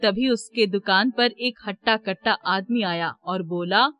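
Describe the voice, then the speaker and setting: A woman's voice: medium pitch, unhurried, fairly bright tone. A woman reading scripted narration for a children's moral story.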